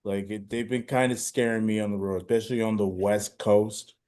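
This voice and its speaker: A man co-hosting a casual sports podcast, talking in a halting, easygoing way with many fillers. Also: lowish voice, level tone, a little mellow. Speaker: podcast co-host.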